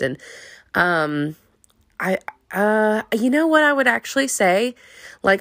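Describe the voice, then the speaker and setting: A young woman with a slightly low voice talks alone into a close mic for her podcast, sniffly from a cold. She rambles openly and confessionally, full of likes and ums. The sound is dry, with no room around her. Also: gravelly, fairly bright tone, no echo. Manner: rambling, open and confessional, many fillers. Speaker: podcast host. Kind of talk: casual solo podcast monologue.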